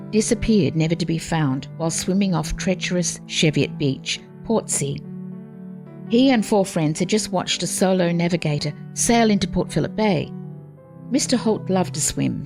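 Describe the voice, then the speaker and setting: A woman narrates a historical script in a formal, measured way. Her voice is on the low side, with music clearly playing behind her.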